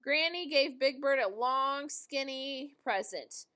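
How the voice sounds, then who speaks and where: Lady reading a kids picture book, real high voice, takes it slow and expressive. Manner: expressive, deliberate storytelling. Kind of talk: animated children's storybook read-aloud.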